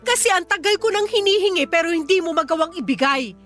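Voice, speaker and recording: Jilted young mother for radio drama, with very high, piercing voice, emotional, reproachful and pleading. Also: lively intonation, fast talker, a bit bright, soft background music. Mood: pleading, bitter. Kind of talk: Filipino radio drama acting.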